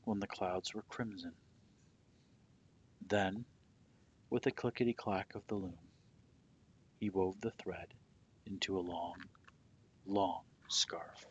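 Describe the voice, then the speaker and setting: A man reading a children's picture book aloud as storyteller, with deliberate, dramatic phrasing that softens at the ends of phrases. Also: low-pitched, steady tone, husky, muffled recording.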